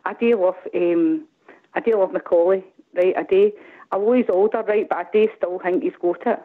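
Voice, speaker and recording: A middle-aged woman with a Glaswegian accent calling in, rambling and animated, often asking "do you know what I mean". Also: fairly low pitch, normal speed. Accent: Glaswegian Scottish. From Glasgow, Scotland. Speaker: caller. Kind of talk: casual phone-in chat. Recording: tinny phone line.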